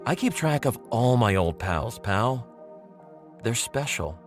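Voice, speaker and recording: An actor playing a chummy old pal with veiled menace in an audio drama monologue, talking straight to the listener in a rambling, needling, familiar way. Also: male voice, mid-range voice, sing-song, takes it slowly, soft background music. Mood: chummy, insinuating. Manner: conversational, rambling, chuckling, pointedly familiar.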